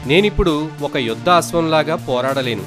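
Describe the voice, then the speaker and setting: A man's voice: a little high, lively intonation, normal speed, over music, lightly acted. A man voicing a weary, neglected warhorse in a children's moral story, speaking with aggrieved reproach. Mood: hurt, reproachful.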